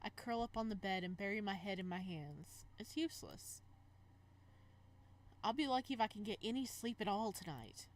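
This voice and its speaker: Expressive woman reading a game aloud. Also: medium pitch, moderate pace. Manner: expressive, reacts aloud, stumbles while reading. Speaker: game streamer, let's player. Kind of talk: reading visual novel aloud with casual commentary.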